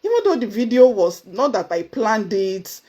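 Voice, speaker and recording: A woman with a Nigerian accent giving a motivational talk to camera, earnest and emphatic, with sudden high exclamations. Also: very animated, normal speed, dark tone. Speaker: motivational speaker. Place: indoors, seated before camera.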